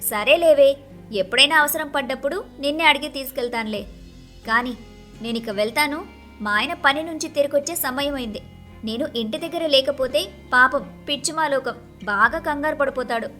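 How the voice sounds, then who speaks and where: A woman acts out an envious young wife in an animated moral tale. Her voice is high and sharp, full of dramatic grumbling and wheedling. Music plays underneath. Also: moderate pace. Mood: chatty, coy. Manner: expressive, dramatic complaining and wheedling. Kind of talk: animated moral-story voice acting.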